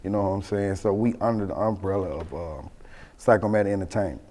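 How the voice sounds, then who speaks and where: A man with a Southern US accent in a casual interview, telling stories in animated, slangy talk full of verbal tags. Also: deep voice, quick pace, in a large hall. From born in Mississippi, raised in Atlanta. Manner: animated, slangy, repeats "you know what I'm saying". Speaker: rap group member being interviewed. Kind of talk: casual storytelling chat.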